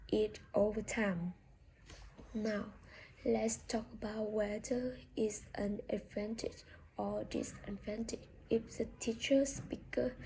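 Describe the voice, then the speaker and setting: A woman's voice: medium pitch, warm tone, slight background noise, low-quality recording. A woman with a Vietnamese accent, a native Vietnamese speaker, giving a prepared monologue for a speaking exam, careful and recited, with a few restarts. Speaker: student taking a speaking exam.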